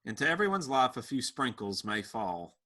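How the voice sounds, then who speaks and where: A man playing a teasing band member in a comic table read, with a deadpan delivery. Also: mid-range voice, moderate pace.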